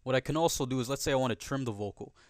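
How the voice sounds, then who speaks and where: A man narrating a software tutorial casually and conversationally, often checking in with the listener, recorded as a close voice-over. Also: mid-range voice, very fast, no echo. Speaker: tutorial host.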